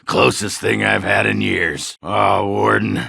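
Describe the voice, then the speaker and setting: Gruff, boozy male dwarf fighter for a fantasy game: raspy, forceful, crude and blunt, unhurried delivery. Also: on the low side, sing-song, no room sound, studio quality, exaggerated voice. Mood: tipsy, jovial. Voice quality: gravelly, rough, raspy. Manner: blunt, coarse, folksy swearing. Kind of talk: video game character dialogue.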